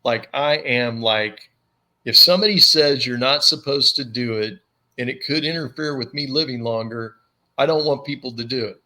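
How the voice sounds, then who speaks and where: A man's voice: moderate pace, poor audio. A man hosting a podcast, telling funny stories in casual chat and acting out the people in them. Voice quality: low commanding voice. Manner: animated storyteller, acts out voices. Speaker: podcast host.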